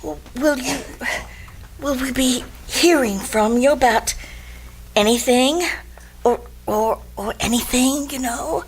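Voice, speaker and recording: A flustered, ladylike society woman in an old radio drama. Her voice is very high and anxious, and she stammers and repeats herself. There is faint noise on the recording. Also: takes it slowly, poor audio. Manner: breathless stammering, repeats words.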